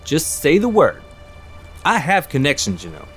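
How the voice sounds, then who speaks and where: A man's voice: fairly high pitch, wildly swooping pitch, moderate pace, a bit bright, faint music behind. A man playing a folksy, chatty, nosy small-town fisherman in an audio drama, rambling on good-naturedly.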